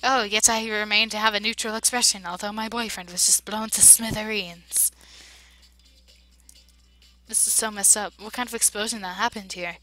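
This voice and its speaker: A woman's voice: medium pitch, steady tone, moderate pace, soft background music, poor audio. Young woman reading game lines aloud. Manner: emotional, reacts loudly then drops to hushed narration. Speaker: let's play voice-over player.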